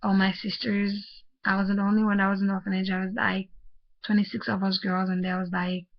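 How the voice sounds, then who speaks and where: Young woman from Haiti, vlogger, flat hesitant delivery full of fillers, muffled lo-fi sound. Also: medium pitch. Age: young adult. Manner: soft-spoken, halting, many fillers and restarts. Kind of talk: personal storytelling vlog. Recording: muffled lo-fi audio.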